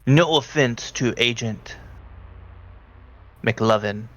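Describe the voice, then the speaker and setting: A man narrating a tabletop roleplaying game as game master, speaking haltingly and deliberately. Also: medium pitch, a bit bright. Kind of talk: narrating a tabletop roleplaying game, partly in character as a briefing handler.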